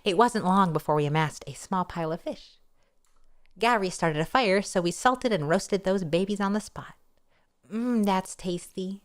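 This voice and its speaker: A woman narrating a first-person story aloud in an expressive, playful style, with wry casual asides. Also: medium pitch, moderate pace. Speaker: streamer reading a novel aloud.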